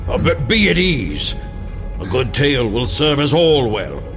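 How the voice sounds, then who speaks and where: Ship's captain, reassuring and courteous; slow, expressive, mid-pitched, audio drama with music. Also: male voice. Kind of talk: theatrical audio drama acting.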